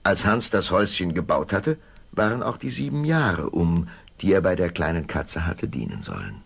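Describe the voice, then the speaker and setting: A man's voice: low voice, sing-song, moderate pace, vocal fry, a bit bright, husky, full character voice. A man narrating a fairy tale as the storyteller of a scripted radio play. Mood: storytelling.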